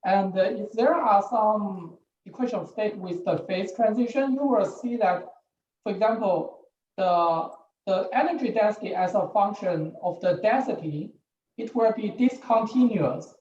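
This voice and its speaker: A woman's voice: medium pitch, unhurried, dark tone. A woman asking an academic question at an online seminar, in tentative, repetitive phrasing, over poor call audio. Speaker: audience questioner. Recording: roomy, distant, muffled, noisy call audio.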